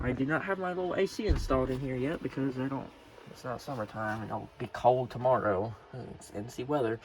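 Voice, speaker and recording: Casual male hobbyist, informal and mumbly, creaky voice, unscripted home video with clear background noise and clicks. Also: medium pitch. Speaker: hobbyist showing a weather radio collection. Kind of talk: casual unscripted show-and-tell. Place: room at home. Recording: noisy home recording with clicks.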